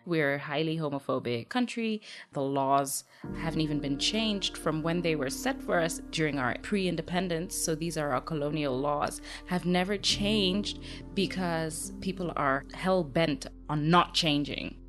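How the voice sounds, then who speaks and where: A woman's voice: low-pitched, unhurried, over music. A woman from Kenya, a guest on a podcast, answering interview questions in an earnest, forthright way over a remote call.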